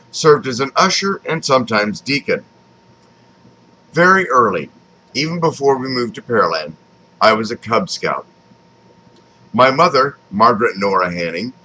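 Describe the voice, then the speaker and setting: A man in his fifties from Texas with an American accent reads his own autobiography aloud, phrasing it deliberately with frequent pauses. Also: mid-range voice, sharp tone, rough recording. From Texas, United States. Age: 50s. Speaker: author reading his own memoir. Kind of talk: scripted autobiographical narration, read aloud.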